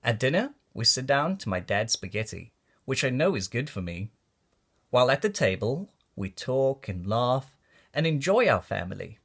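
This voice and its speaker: A man reading a rhyming children's storybook aloud in an expressive, deliberate way for young listeners. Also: mid-range voice, gravelly. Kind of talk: read-aloud storybook narration of rhyming verse.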